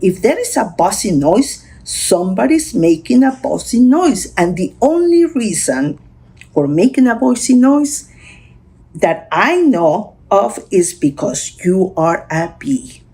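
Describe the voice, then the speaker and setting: A woman's voice: mid-range voice, very animated, slow pace, warm tone, breathy edge, full character voice. A woman voicing a simple, honey-loving toy bear in a storybook, musing earnestly and repetitively. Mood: puzzled musing.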